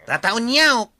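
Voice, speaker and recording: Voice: mid-range voice, pushed and bright, full character voice. An actor with an Ilocano accent playing a teasing, quarrelsome village councilor in a radio comedy-drama.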